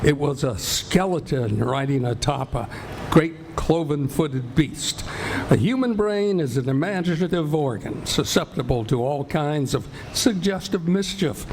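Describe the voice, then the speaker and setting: A man playing the storytelling narrator of an Old West ghost tale, with measured scripted delivery. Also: fairly high pitch, animated, slight background noise. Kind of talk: scripted radio theatre narration. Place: senior center, live stage performance. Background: loud music.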